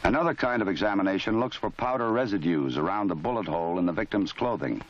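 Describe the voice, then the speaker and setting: A low-voiced American man narrates in a formal, measured style. He sounds authoritative and matter-of-fact, reading a script for an instructional film. The sound is dull and lo-fi, like a 1970s film soundtrack. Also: slight background noise.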